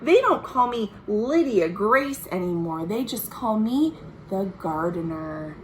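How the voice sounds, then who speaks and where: An American woman voicing an earnest, hopeful young girl's letters home from a storybook, with drawn-out, sing-song emphasis. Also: medium pitch, animated, slight background noise. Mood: cheerful. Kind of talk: expressive storybook letter reading.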